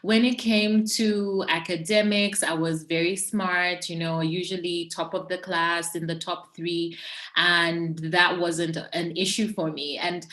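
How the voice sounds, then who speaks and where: A woman's voice: lowish voice, flat delivery, measured pace, fairly bright tone, reverberant. A woman from Zambia, a podcast guest, telling her life story openly and thoughtfully, with easy laughs. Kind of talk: conversational storytelling interview.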